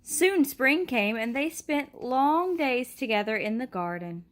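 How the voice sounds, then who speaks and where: Woman gently reading a storybook aloud. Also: sits high, animated, a little slow. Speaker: storybook reader. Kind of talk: reading a picture book aloud.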